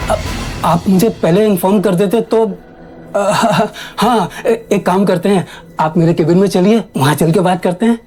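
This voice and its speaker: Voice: medium pitch, a little slow, a little mellow, close to an everyday voice. A performer playing an arrogant, blustering male company boss in an audio drama, mixing in English words. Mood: flustered, placating. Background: faint music.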